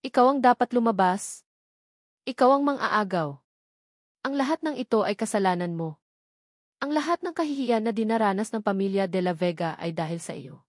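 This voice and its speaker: A woman's voice: medium pitch, expressive, normal speed, pauses often, dry recording, clean studio sound, lightly acted. A Filipino woman storyteller voicing a bitter, jealous wife, angry and accusing, with a scornful, confrontational manner. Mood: furious.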